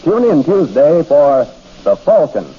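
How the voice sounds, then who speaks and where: A man's voice: fairly high pitch, very animated, unhurried, breathy edge. A man reading scripted announcements as a formal, dramatic 1940s radio network announcer. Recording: dull old radio broadcast.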